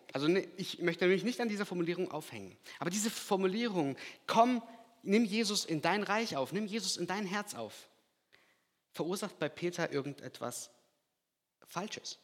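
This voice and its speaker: A man from Kazakhstan preaching a sermon, swinging from loud emphatic lines to quiet, hushed asides. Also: on the high side. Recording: long hall reverb.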